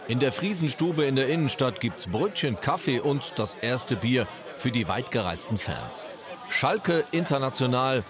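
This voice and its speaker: A man's voice: mid-range voice, expressive, unhurried, a crowd in the background. A man narrating a regional TV news feature as a scripted voice-over.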